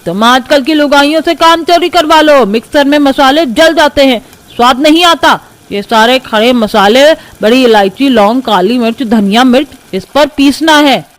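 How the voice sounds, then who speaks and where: Lady doing nagging old grandma from a cartoon, real high voice, bossy and rustic. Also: moderate pace, hardly any pauses, no room sound, natural voice. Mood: scolding, bossy. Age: older. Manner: harsh, nagging, rustic turns of phrase. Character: scolding, bossy old grandmother-in-law of a village household. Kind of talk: acted Hindi moral-story cartoon.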